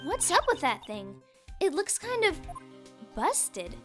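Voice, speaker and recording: A woman voicing a perky, excitable, childlike teenage girl sidekick in a video game. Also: very high-pitched, slow pace, exaggerated voice. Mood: curious. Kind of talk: animated video game character acting. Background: music.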